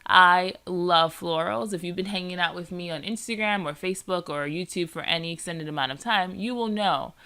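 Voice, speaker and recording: A woman's voice: fairly low pitch, moderate pace, hardly any pauses, sharp tone. A woman with a nasal, congested voice from a cold, chatting casually as she narrates her scrapbooking process, rambling with lots of "like" and "um". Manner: chatty and rambling, often saying "like" and "um". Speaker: scrapbooking vlogger.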